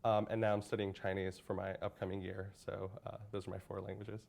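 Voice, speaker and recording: A young man with a gravelly, low voice answers interview questions as a graduating college senior. He speaks earnestly, often restarting and filling pauses with "um". His tone is thoughtful and sincere. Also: level tone, darkish tone. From United States. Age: young adult. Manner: earnest, hesitant, many restarts and fillers.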